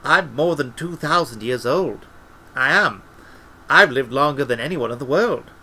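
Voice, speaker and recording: A man voicing a defensive, ancient wizard servant in an audiobook, gruff and folksy, given to whining and ungrammatical asides. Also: medium pitch, lively intonation, a little slow, sharp tone, full character voice. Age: older. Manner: colloquial, ungrammatical, tacks on "see?" and "you know".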